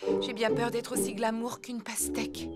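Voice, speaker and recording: A woman voicing a gentle, modest elephant mother in a children's cartoon, warm and expressive. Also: a little high, normal speed. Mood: unsure, wistful. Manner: warm, expressive, slightly self-deprecating. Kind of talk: French children's cartoon acting. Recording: music behind the voice.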